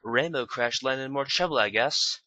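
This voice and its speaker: Man putting on a fussy, refined pony lady, high and bright. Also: unhurried, dry recording, muffled recording. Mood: prissy. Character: prim fashionable unicorn pony lady, dramatic.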